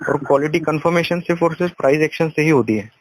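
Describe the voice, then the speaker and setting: A man's voice: fairly high pitch, fast talker. A man coaching informally over a phone call, emphatic and instructive, repeating key points. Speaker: trading coach.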